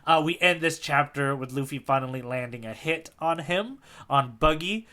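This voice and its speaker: A man's voice: on the high side, normal speed, bright, forceful tone. A man co-hosting a podcast, recapping a story in casual, enthusiastic chat. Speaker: podcast co-host.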